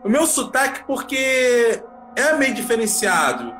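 A man's voice: extremely high pitch, very animated, a little slow, bright, forceful tone, faint music behind. A man co-hosting a casual live chat, joking around and talking in a playful, slangy way.

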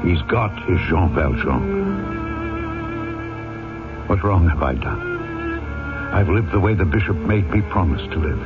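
A dignified French mayor guarding a secret past in an old-time radio play. He is controlled yet theatrical, with a widely moving pitch. The sound is thin and narrow like a telephone. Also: wildly swooping pitch, slow, pauses often, loud music behind the voice, full character voice. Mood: anxious, self-justifying. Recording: tinny, narrow vintage radio recording.

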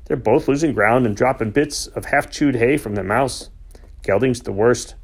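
A man voicing a gruff, blunt old male farmer with a Yorkshire accent. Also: medium pitch, normal speed. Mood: dry, explaining.

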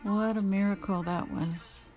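A middle-aged American woman talking informally and personally about her own paintings, halting, reflective and emotional. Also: medium pitch, steady tone, darkish tone, faint music behind. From United States.

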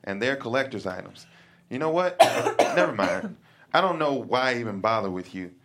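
A man's voice: mid-range voice, sing-song, normal speed, a bit bright. A man reads an awkward teenage boy who rambles when he gets flustered. His voice sits in the middle range. He keeps trying too hard to sound clever. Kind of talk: table read.

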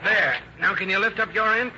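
A man acting a nervous, hurried accomplice, his voice slightly high. It is a vintage radio thriller with muffled sound and clear noise behind. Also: lightly acted. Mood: tense, hurried. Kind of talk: 1930s-40s radio drama acting. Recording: muffled old radio recording, noisy background.

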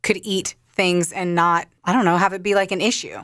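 A woman's voice: on the low side, steady tone, normal speed, fairly bright tone, no room sound, studio quality. A woman in her thirties co-hosting a podcast, chatting bluntly and enthusiastically and swearing freely. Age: 30s. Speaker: podcast co-host. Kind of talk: casual podcast chat.

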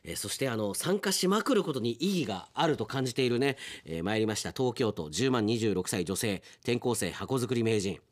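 A man's voice: mid-range voice, a little fast, few pauses. A man hosting a radio aftertalk, reading listener mail in casual chat and reacting with amused asides. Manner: animated, reacts with amused asides to what is read.

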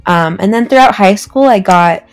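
A woman's voice: medium pitch, moderate pace, soft background music. A young woman from Southern California, a podcast guest telling her story in a friendly, casual way with frequent likes. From Orange County, California. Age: young adult. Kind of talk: casual interview chat.

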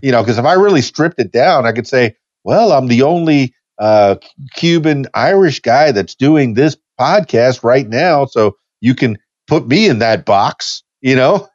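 A man's voice: mid-range voice, lively intonation, unhurried, no echo, dull audio. A man in his fifties hosting a casual podcast chat, easygoing and rambling, with frequent restarts. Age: 50s. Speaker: podcast host.

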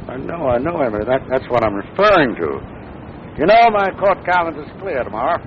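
An American actor playing a kindly older father in a measured, thoughtful way in 1940s radio drama. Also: medium pitch, very animated, close to an everyday voice. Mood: mildly puzzled. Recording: muffled, noisy 1940s radio recording.

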